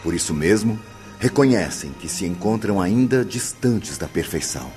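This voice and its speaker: An actor playing a solemn, devout narrator, reading scripted radio drama in a reverent, measured way. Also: male voice, low voice, animated, a little mellow. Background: music.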